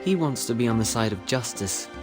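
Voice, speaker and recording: Here is a brooding young brother from a story-driven game, played by a man, melancholy yet set on his course. His middling-pitched voice delivers dramatic lines over clearly audible music. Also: expressive, brisk, lightly acted. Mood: earnest, wistful. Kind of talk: dramatic video game voice acting.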